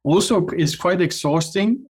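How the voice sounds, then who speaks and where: A middle-aged man from Norway with a Norwegian accent, a company cofounder chatting as an interview guest, often restarting and filling pauses with "uh". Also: sits high, darkish tone, slightly rough. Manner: halting, many restarts and fillers. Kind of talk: casual interview chat.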